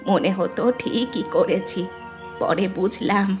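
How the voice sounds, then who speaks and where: Woman as remorseful elderly mother-in-law, slow, sorrowful, lonely, cartoon drama, music behind. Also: medium pitch, close to an everyday voice. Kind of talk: dramatic Bengali folk-tale cartoon dialogue.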